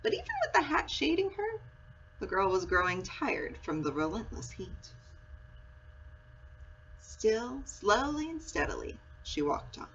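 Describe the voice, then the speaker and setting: A woman reading a children's story aloud in an expressive, storytelling style. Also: on the high side, measured pace, long pauses. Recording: muffled audio with clear background noise.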